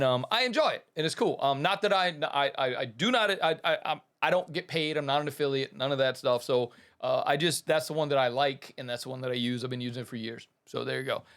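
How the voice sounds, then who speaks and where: A man who talks in a loose, rambling way, full of fillers, restarts and a running "man". His voice sits a bit higher than most men's. He hosts an audio tutorial channel and switches to plain story reading. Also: fairly bright tone. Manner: rambling and chatty, many fillers and restarts, keeps saying "man". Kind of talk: casual talk to viewers, then reading a short story.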